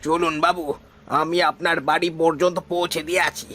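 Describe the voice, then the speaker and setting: A man's voice: a little high, flat delivery, a little fast, bright, forceful tone, slight background noise. A man voicing a mysterious, deferential boatman in a horror cartoon, speaking respectfully. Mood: reassuring.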